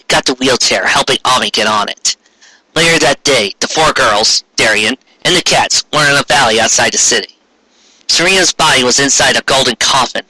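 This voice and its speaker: Male narrator for amateur fiction reading: very forceful, bright tone, a bit husky, occasionally stumbling. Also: medium pitch, no room sound, poor audio. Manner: stumbles over words while reading. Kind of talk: dramatic reading of fan fiction.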